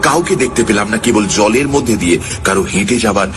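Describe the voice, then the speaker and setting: A man's voice: lowish voice, lively intonation, brisk. A man narrating a ghost story in the first person, in a suspenseful, theatrical manner. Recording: loud music under the voice. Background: loud music.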